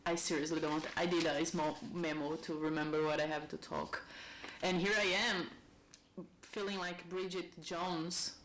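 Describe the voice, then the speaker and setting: A woman's voice: on the low side, slight background noise, low-quality recording. A Brazilian woman in her thirties, speaking English as a second language with a heavy Brazilian Portuguese accent, thinking aloud in a rambling personal vlog at home. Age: 30s. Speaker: YouTuber.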